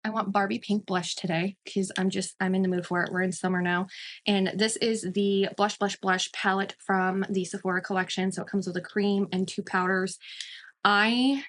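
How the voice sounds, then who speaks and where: A woman's voice: medium pitch, steady tone, normal speed, fairly bright tone. A woman in her mid-thirties giving a casual makeup review as a beauty vlogger, chatty and emphatic, often repeating words for emphasis.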